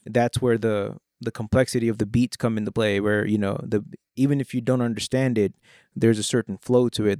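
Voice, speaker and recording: A man's voice: lowish voice, gravelly, no echo, studio quality. A young man from India, a rapper and producer whose first language is Hindi, speaking in a casual interview with a mixed Indian English accent and lots of "you know" and "like". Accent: Indian English with British and American traces. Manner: relaxed and conversational, fillers like "you know" and "like". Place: Oklahoma.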